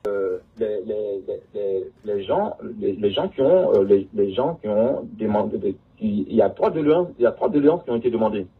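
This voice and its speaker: A man speaking haltingly in a recorded phone conversation, stammering and repeating his words. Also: fairly low pitch, lively intonation, slightly rough. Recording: muffled leaked phone call, distant, narrowband.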